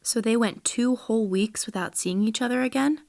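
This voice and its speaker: A woman's voice: on the high side, normal speed, gravelly, darkish tone. A woman voicing a curious, impatient young girl who eagerly questions a storyteller.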